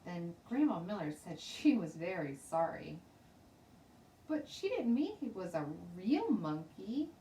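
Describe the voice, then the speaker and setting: Woman teacher, children's story narration, dramatic, animated pitch, echoey room, faint noise. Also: unhurried. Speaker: teacher reading stories aloud. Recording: roomy, distant mic, faint background noise.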